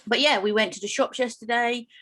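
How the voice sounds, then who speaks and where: A woman's voice: a little high, fairly quick, fairly bright tone. Sleepy woman co-hosting a podcast, chatty, slangy, a bit wry. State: tired. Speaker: podcast co-host. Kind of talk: casual chat.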